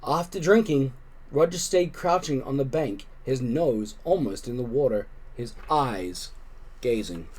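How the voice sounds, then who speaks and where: A man reading a children's story aloud, expressively and with deliberate phrasing. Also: medium pitch, lively intonation, darkish tone, breathy edge, rough recording. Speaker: storybook reader.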